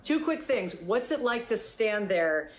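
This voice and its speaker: A woman interviewing a film director, friendly and chatty, with quick affirmations. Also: a little high, big pitch swings. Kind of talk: interview questions.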